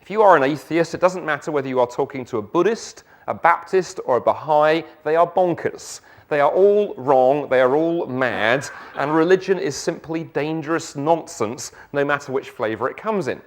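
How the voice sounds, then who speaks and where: A middle-aged man with a South London accent gives an evening church talk, lively, with joking asides and rhetorical questions. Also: fairly high pitch, normal speed, few pauses. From England. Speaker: guest speaker.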